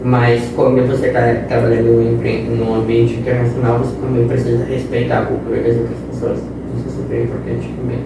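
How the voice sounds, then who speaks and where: A man's voice: mid-range voice, monotone, fairly quick, hardly any pauses. Young male interview guest, explains with examples; roomy echo, mic at a distance. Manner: explains with examples and quoted phrases. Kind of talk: casual interview talk. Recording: echoey room, distant mic, noisy.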